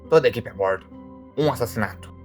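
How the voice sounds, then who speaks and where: A man voicing a blunt, exasperated alligator detective, a ship's guard who questions people in a casual, muttering way, in an animated film. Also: medium pitch, fast talker, lightly acted. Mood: businesslike. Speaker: ship security guard turned detective. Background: faint music.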